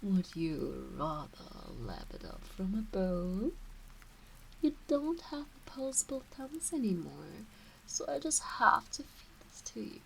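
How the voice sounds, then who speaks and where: Slow, whispery teasing fox witch, played by a woman. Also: medium pitch, slight background noise, exaggerated voice, low-quality recording. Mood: playful, coddling. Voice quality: breathy, soft, often slipping into whisper. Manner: slow, coaxing, teasing baby-talk. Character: teasing, doting kitsune fox witch fussing over her pet. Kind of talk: ASMR roleplay, whispery and intimate. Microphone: close ASMR microphone.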